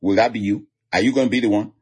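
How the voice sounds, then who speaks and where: A man with a Nigerian accent preaching an online sermon, laughing softly between lines and repeating declarations. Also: on the low side, fairly even intonation, quick pace, slightly rough. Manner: chuckles often, repeats declarations.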